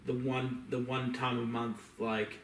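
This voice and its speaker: A man's voice: mid-range voice, flat delivery, unhurried, slight background noise. A man co-hosting a podcast, chatting casually in a slangy, opinionated and joking way. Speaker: podcast co-host. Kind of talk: casual chat about video games and films. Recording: roomy echo, distant mic.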